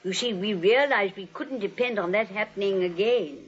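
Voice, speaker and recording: An actress playing a sweet, serene elderly spinster in a 1940s radio comedy, genteel and matter-of-fact. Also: female voice, mid-range voice, normal speed, husky, lightly acted. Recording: dull vintage radio recording.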